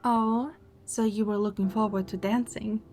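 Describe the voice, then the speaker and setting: A woman's voice: mid-range voice, steady tone, moderate pace, darkish tone, faint music behind, lightly acted. A woman acting a playful, affectionate and wistful young woman in love in a romantic audio drama.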